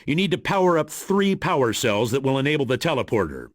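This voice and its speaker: An actor playing an authoritative spy agency commander in a video game, giving orders in a gruff, commanding manner. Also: male voice, mid-range voice, very animated, quick pace, dry recording. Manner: commanding, briefing, dry. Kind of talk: video game mission briefing.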